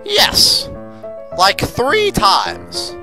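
A man's voice: sits high, huge pitch swings, drawn-out words, over music, full character voice. A man playing an enthusiastic, boastful old professor and explorer in a comic radio drama. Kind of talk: comedic radio drama acting.

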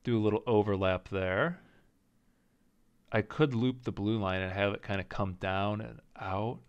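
A man casually commenting as he plays a strategy game, thinking aloud and second-guessing his choices, with a slight rasp and an occasional cough. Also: fairly low pitch, measured pace, dull audio. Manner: thinking aloud, indecisive, self-talking. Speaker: gaming channel host. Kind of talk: casual let's-play commentary.

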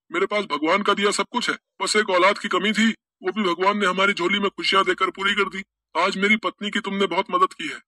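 A man voicing a kind, grateful rich businessman in an animated story, speaking in a raised voice. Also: high voice, dry recording, pitched above the performer's own voice, exaggerated voice. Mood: generous.

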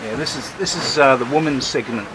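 A man's voice: mid-range voice, fairly quick. An older man, chatting casually and self-deprecatingly while filming his sail from the deck of a catamaran. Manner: relaxed, rambling, self-deprecating, hesitant fillers. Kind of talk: casual self-filmed commentary. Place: on deck of a sailing catamaran, outdoors. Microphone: handheld camera mic. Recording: loud wind noise on camera mic.

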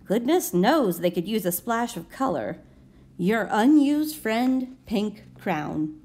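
A woman's voice: medium pitch, sing-song, slow, a little mellow. A woman voicing a sassy, neglected crayon in a children's storybook, curt, sarcastic and indignant. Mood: sardonic. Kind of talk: children's storybook read-aloud.

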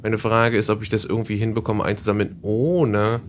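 A man's voice: fairly low pitch, little pitch movement, a little fast, a little hiss or hum. A man hosting a Let's Play, chatting casually and explaining the game as he plays. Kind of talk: casual gameplay commentary.

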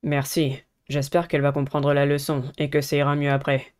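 A woman voicing a serious, protective teenage boy from a visual novel, speaking in a measured, earnest way. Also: low voice, level tone, pitched below the performer's own voice, natural voice. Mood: resigned. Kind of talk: visual novel character reading.

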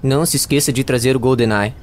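A man playing an excitable, bickering young gamer in an exaggerated comedy fan dub. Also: mid-range voice, fast talker, background noise, close to an everyday voice. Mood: casual, grumbling.

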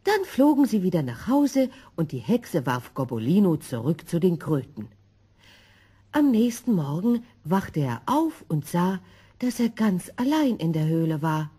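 A woman narrating a scripted children's story in an expressive, dramatic manner. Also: lowish voice, a little slow, husky.

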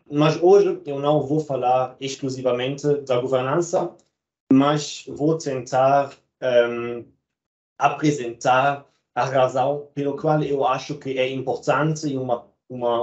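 A man's voice: mid-range voice, steady tone, unhurried, darkish tone, muffled recording. German-accented man presenting research. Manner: hesitant, many ehm fillers. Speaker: guest speaker, doctoral researcher. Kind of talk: academic presentation.

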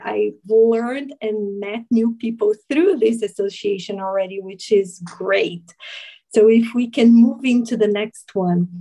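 A woman from Brazil speaks with a Brazilian Portuguese accent, her tone mellow and relaxed. She explains things in an earnest, steady way. Her voice comes through a video call. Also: medium pitch, rough recording. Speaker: guest speaker. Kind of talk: presentation to a video meeting.

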